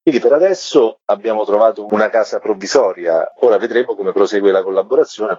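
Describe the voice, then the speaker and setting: A man's voice: on the low side, a little mellow, husky. A man answering questions as a guest in a radio interview. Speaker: guest, theatre artist. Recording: dull, roomy phone-in sound.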